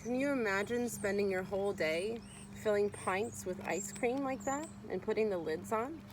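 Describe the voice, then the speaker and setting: A woman's voice: medium pitch, moderate pace. Woman teacher doing a read-aloud for kids, explains stuff, mid-range voice, noisy home recording. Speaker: teacher reading aloud to a class. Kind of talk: read-aloud of a children's nonfiction book with brief asides.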